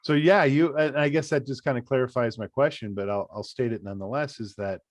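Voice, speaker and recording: A man's voice: mid-range voice, brisk, no echo. Easygoing male interviewer with rambling questions. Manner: friendly, rambling questions with many fillers.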